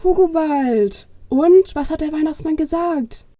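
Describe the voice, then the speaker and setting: A woman's voice: high-pitched, measured pace, mellow, no room sound, clean studio sound. A woman playing an eager, curious young girl, slightly anxious, in a family Christmas audio play.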